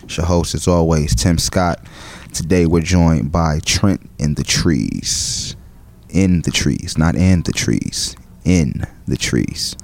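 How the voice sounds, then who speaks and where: A man's voice: rumbling low, slow pace, creaky. A man hosting a music podcast, asking easygoing questions and often repeating short affirmations. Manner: relaxed, repeats "cool, cool, cool". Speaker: podcast host. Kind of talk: casual interview.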